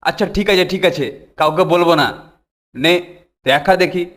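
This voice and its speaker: A man's voice: a little high, normal speed, natural voice. A man voicing a bossy, boastful, greedy older schoolboy bully in a cartoon, with a theatrical, domineering delivery. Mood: suspicious, bossy. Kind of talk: Bengali cartoon voice acting. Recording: music behind the voice.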